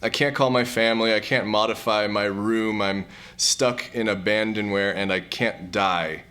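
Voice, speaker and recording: A man's voice: on the low side, fairly even intonation, normal speed, sharp tone. A man playing an awkward, melancholy, exasperated character in an audio comedy-drama, with a hesitant, stammering delivery. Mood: despairing.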